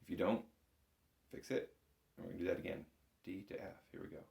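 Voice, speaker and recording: Deep-voiced man teaching in an echoey room. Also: fairly even intonation, fast, gravelly, a little mellow. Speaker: bagpipe instructor. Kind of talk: step-by-step spoken music lesson. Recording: roomy, echoing room sound.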